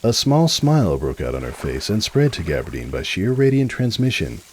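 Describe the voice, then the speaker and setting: A male narrator gives a dramatic story reading in a very low, husky voice. His delivery is expressive, with lively rises and falls in pitch. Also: normal speed. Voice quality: deep, husky.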